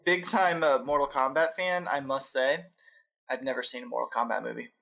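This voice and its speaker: A man's voice: mid-range voice, steady tone, brisk, gravelly, a bit bright. A man talking casually as a co-host in a podcast chat.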